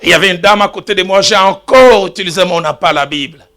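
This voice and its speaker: A man preaching a sermon as pastor, telling stories and shifting from quiet asides to loud exclamations. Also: high-pitched, fast talker, soft background music. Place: church.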